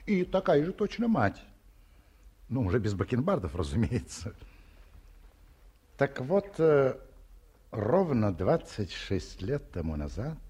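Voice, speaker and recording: A man's voice: medium pitch, darkish tone, slightly rough, heavily acted. An actor playing a middle-aged male academic who tells an old love story with wry, reminiscing irony in a 1950s radio play.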